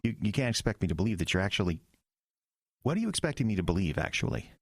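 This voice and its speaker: An earnest young pastor, acted by a man, insistent and easily rattled. His fairly low voice swings up and down with feeling, in a closely recorded audio drama. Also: big pitch swings, quick pace, creaky, dry recording, studio quality, full character voice. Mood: bewildered. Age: young adult. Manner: earnest, stammering when rattled.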